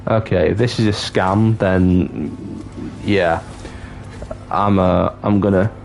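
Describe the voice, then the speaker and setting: A young man in his twenties from North Wales chats casually with viewers on a livestream, halting and restarting often. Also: deep voice, steady tone, a little mellow. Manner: chatty, halting, with many restarts. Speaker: streamer. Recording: game music clearly behind the voice. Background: game music.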